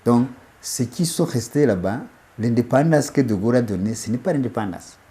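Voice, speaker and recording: A man speaks emphatically, restarting and repeating phrases as he builds a point. His voice is mellow and dark, at a middle pitch. He sounds like a guest in an interview. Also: expressive, moderate pace. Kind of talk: interview, political commentary.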